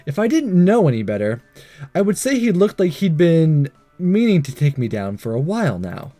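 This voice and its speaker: A man's voice: on the high side, very animated, normal speed, warm tone, faint music behind. A man doing a let's play, reading a visual novel aloud with casual, sarcastic asides and the odd stumble over words. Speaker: let's player.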